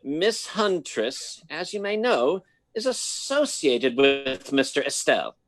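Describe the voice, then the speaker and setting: A man's voice: fairly high pitch, very animated, slow, a bit bright, heavily acted. An actor playing a prim, wealthy old man in a radio play, with formal, fussy, hesitant phrasing. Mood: fussy, concerned. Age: older.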